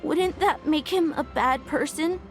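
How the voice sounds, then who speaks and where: A young child with a very high, small voice. The child sounds earnest and troubled in a game story scene, with faint music behind. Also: female voice, a little slow. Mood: troubled, questioning. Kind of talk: animated video game voice acting.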